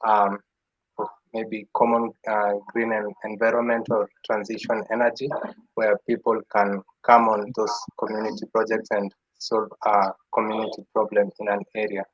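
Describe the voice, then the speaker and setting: Young man, low husky voice, hesitant delivery, dull dry call audio. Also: level tone, moderate pace, no room sound. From Kenya. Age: young adult. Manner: hesitant, with many uhs. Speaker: youth forum participant. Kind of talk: opening remarks on a video call.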